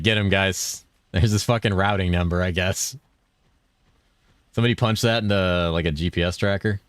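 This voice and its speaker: A laid-back young man chats with his viewers while he plays, rambling and swearing easily. His voice is very low, though he keeps it a little above his natural depth. It sounds close and dry, with almost no room around it. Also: dry recording. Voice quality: deep voice that he holds a bit higher than his natural one. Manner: laid-back, rambling, swears a lot, reads chat aloud. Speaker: game streamer. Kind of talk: casual live-stream chat while gaming.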